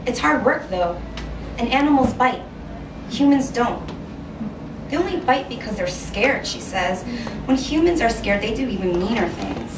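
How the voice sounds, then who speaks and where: Female author at a live literary reading, slightly high voice, measured expressive delivery; echoey, picked up from afar. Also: distant mic. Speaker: author reading own short story. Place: indoor venue at a reading series. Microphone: recorded from a distance in the room. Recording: roomy, noisy live recording from afar.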